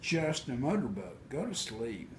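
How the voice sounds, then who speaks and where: A man voicing an excitable, nervous little boy at bedtime in a storybook, with hushed, wondering exclamations. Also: medium pitch, unhurried. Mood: guessing. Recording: roomy home recording with faint noise.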